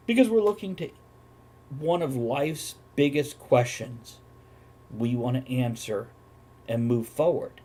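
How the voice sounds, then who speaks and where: A man's voice: medium pitch, mellow, husky. A man hosting a casual chat show, talking bluntly and swearing freely as he banters. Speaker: host of a talk show.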